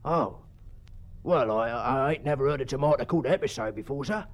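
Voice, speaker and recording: A man's voice: medium pitch, brisk, muffled recording, natural voice. A man voicing an ancient English school porter with a working-class dialect, stubborn yet deferential, rambling and plain-spoken in an audiobook story.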